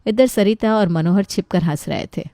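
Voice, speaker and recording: Female narrator for scripted bedtime-style tales, soft dark timbre, very dry studio-like sound. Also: mid-range voice, normal speed, no echo. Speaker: story narrator.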